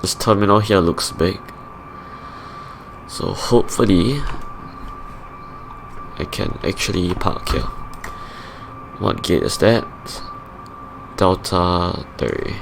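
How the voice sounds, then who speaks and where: A young man with a Singaporean English accent, his low voice gravelly and raspy as if he has just woken up. He talks slowly and haltingly to himself while working through an aircraft shutdown checklist. Loud engine hum runs underneath him. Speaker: flight sim streamer. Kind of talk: casual play-by-play of a simulated flight.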